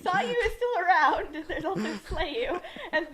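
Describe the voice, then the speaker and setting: A woman's voice: very high voice, expressive, unhurried, crowd noise. A woman giving casual, humorous commentary as a co-commentator on a story reading.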